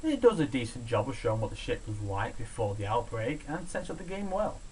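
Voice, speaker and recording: A man's voice: mid-range voice, brisk, low-quality recording. A man reading a scripted countdown review in a sardonic, opinionated, sweary manner. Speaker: video reviewer, host of a countdown show. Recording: steady background hiss, amateur home recording.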